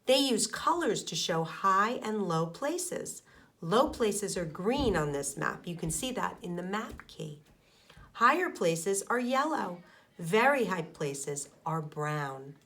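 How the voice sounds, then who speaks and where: Slow-paced woman teacher reading to children. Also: fairly low pitch, very animated, a bit bright. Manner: simple, deliberate phrasing for young children, with questions to listeners. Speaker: elementary school teacher. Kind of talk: children's picture book read-aloud with explanations.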